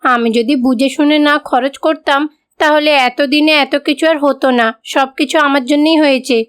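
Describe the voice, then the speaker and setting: A woman's voice: sits high, fairly even intonation, measured pace. A woman voicing a bossy, greedy, scheming middle-aged mother-in-law in a cartoon. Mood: argumentative. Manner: domineering, argumentative.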